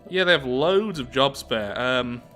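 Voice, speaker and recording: A man's voice: medium pitch, lively intonation, unhurried, fairly bright tone, soft background music. A man giving casual commentary on a strategy game playthrough, thinking aloud as he goes. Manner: thinking aloud, musing to himself.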